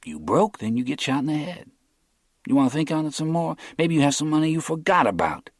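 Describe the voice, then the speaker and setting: A man voicing a kidnapper who calmly threatens his captive in a blunt, flat way. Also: fairly low pitch, brisk, breathy edge, dry recording, clean studio sound. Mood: menacing. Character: menacing male kidnapper, cool and blunt.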